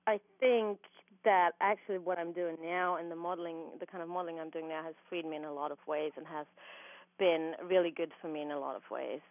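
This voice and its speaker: A woman's voice: on the low side, steady tone, fairly quick, dry recording. A young woman with an Austrian accent talks with a giggle that keeps breaking through. She fills her thoughts with ums. Her voice comes through a tinny, dry phone line. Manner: giggly, laughs often, frequent ums. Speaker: guest. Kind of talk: casual phone interview.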